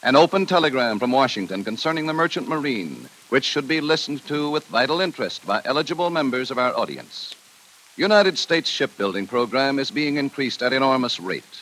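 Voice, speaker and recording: A man's voice: medium pitch, expressive, fairly quick, fairly bright tone. A man reading a scripted 1940s radio public service announcement in a formal, emphatic manner. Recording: faintly noisy vintage radio recording.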